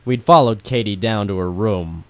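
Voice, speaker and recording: An actor playing a hard-boiled, wry private eye in a noir radio play, with clipped storytelling. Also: male voice, fairly low pitch, moderate pace, a little hiss or hum, natural voice. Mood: wry narrating. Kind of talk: noir radio-play detective narration and dialogue. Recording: music under the voice.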